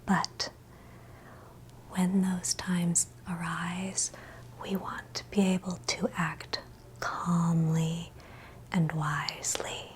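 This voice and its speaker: Woman whispering a guided meditation asmr style, super slow n gentle, lowish breathy voice, lots of pauses. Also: flat delivery, slight background noise. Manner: gentle, soothing, with long pauses between short phrases.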